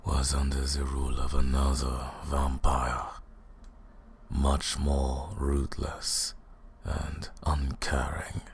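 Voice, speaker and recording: A man's voice: extremely low pitch, creaky, husky, heavily acted. A man playing an ancient vampire lord, cold, mocking and condescending, in a theatrical, menacing roleplay monologue. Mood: condescending, grave. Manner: slow, menacing, taunting, theatrical. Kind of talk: scripted ASMR roleplay monologue.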